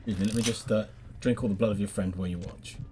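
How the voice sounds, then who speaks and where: A man's voice: lowish voice, fairly even intonation, very fast. Playful man chatting over game music. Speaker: gaming video co-host playing the game. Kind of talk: casual chat while playing a game.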